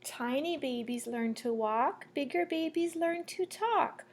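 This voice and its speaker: A woman reading a children's picture book aloud like a teacher, in a measured, sing-song storytelling way. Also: high voice. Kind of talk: children's read-aloud storytelling with asides to listeners.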